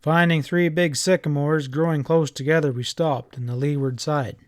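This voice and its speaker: A man's voice: a little high, fairly even intonation, moderate pace, no echo, studio quality. A man reading a scripted story as an audiobook narrator.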